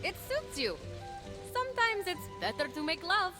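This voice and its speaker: Game role: sassy young mythic heroine, plucky and wry, high voice, very animated. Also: female voice, normal speed, music in the background. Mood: wry, confident. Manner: sassy, expressive, questioning. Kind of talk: animated video game cutscene acting.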